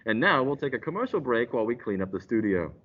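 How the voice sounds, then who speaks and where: A man's voice: very animated, fast talker, a bit bright. A young man playing a pompous, unflappable live radio announcer with a grandiose, declamatory delivery. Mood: dramatic announcing. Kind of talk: old-time radio announcer parody. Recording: dull, noisy recording with rumble.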